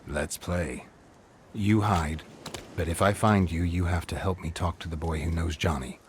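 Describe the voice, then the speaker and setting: A man's voice: low voice, steady tone, moderate pace, noisy background, close to an everyday voice. A man playing a weary, steadfast monster hunter in a fantasy video game, speaking in a dry, clipped, understated way. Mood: playful, dry. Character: terse, weary, steadfast monster hunter searching for his daughter. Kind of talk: video game character acting.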